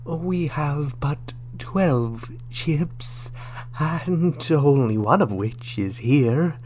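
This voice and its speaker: A man playing a measured, placating reptilian alien diplomat with a formal, halting way of talking, in a sci-fi radio drama. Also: fairly high pitch, expressive, a little mellow, a little hiss or hum.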